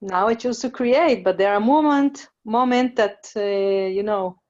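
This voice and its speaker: A woman's voice: medium pitch, a little slow, a little mellow, dull audio. Hesitant woman caller from Slovakia. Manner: hesitant, many fillers. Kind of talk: question from a participant.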